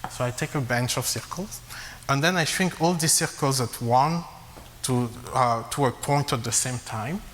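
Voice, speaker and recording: A man who grew up in Congo giving a math lecture, halting with frequent fillers and restarts. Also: medium pitch, fairly bright tone. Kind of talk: academic lecture at a blackboard. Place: lecture hall. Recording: long reverb, faint hiss.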